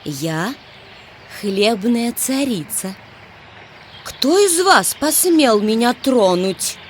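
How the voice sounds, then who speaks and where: A woman playing a stately, instructive Bread Queen spirit in a children's musical cartoon, measured in manner. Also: on the high side, sing-song, a little hiss or hum, full character voice. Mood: stern, regal. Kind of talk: children's musical cartoon storytelling, partly sung. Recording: loud music behind the voice.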